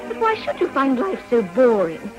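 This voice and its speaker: A woman's voice: on the high side, wildly swooping pitch, normal speed, over music, low-quality recording. An actress playing a poised, earnest young Edwardian society lady with an upper-class English accent and precise, genteel speech in a period radio drama. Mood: gracious, sociable.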